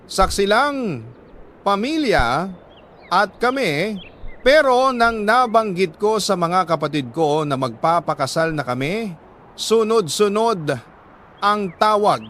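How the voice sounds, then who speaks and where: A man with a Filipino accent reads a sentimental letter as a radio storyteller, in a measured, heartfelt, dramatic way with deliberate pauses between phrases. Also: sits high, sing-song, fairly bright tone, a little hiss or hum.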